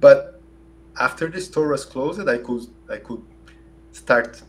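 A man in his forties with a Brazilian Portuguese accent, answering interview questions in a hesitant way full of fillers and restarts, on a boom-arm studio mic in a home office. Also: takes it slowly. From southern Brazil. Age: 40s. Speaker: guest. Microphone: large studio mic on a boom arm.

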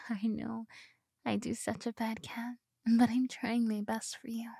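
Soft-whispering young woman, ASMR. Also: fairly high pitch, flat delivery, takes it slowly, gravelly, breathy edge, dry recording, clean studio sound. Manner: whispering, giggly, affectionate. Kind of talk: ASMR roleplay. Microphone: close binaural mic.

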